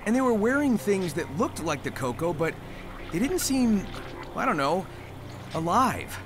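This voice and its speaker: An actor voicing a breezy, upbeat hedgehog hero in a video game cutscene, casual with slang. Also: male voice, high voice, big pitch swings, measured pace, background noise. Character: cocky fast blue hedgehog hero, upbeat. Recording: game audio with music underneath.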